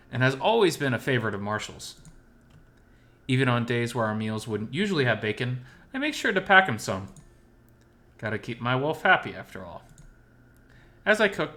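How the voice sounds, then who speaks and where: A man narrating a first-person visual novel story in an expressive, scripted reading style. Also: medium pitch.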